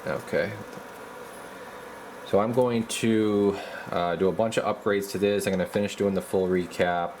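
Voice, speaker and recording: A man with a low voice talks casually through hands-on repair work as a technician. His delivery is halting and folksy, like someone thinking aloud, and there is noticeable background noise behind him. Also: fairly even intonation, a little mellow. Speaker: radio repair technician and video host.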